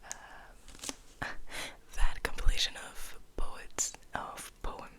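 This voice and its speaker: Slow whispering woman, ASMR style. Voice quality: breathy, unvoiced whisper. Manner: slow, halting, gentle pauses between short phrases. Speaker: host of an ASMR channel. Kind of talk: ASMR, soft whispered rambling.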